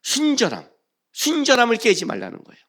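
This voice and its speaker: A man preaching a sermon as a pastor, reading scripture and questioning the congregation, swinging between loud emphasis and hushed phrases. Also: very high-pitched, huge pitch swings, brisk.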